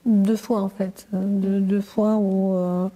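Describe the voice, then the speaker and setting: A woman's voice: medium pitch, little pitch movement, slow pace, dark tone, in a large hall, rough recording. A woman in her fifties talking casually in an interview, hesitant and trailing off with fillers. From Portugal. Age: 50s. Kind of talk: casual conversation.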